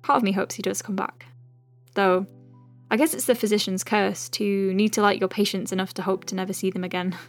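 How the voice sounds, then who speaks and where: A woman playing a caring, conscientious doctor in an audio drama, dictating clinical notes with gentle, chatty asides. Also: mid-range voice, steady tone, brisk, faint music behind, lightly acted. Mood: professional, gentle. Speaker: lead character, physician.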